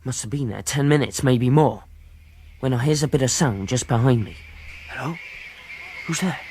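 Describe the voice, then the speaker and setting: A man's voice: mid-range voice, normal speed, noisy background, close to an everyday voice. An actor playing a frightened but earnest ship's boy, telling his story in rustic grammar in a tense radio drama. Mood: horrified.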